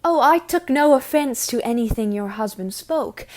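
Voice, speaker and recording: A woman's voice: fairly high pitch, lively intonation, normal speed, darkish tone, lightly acted. A woman playing a gracious, diplomatic young noblewoman in an audio drama, with polite, formal period speech. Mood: friendly, confiding.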